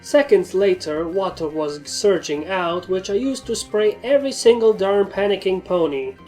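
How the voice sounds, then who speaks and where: A woman's voice: mid-range voice, normal speed, a little mellow, faint music behind. A woman reading fan fiction aloud as scripted story narration.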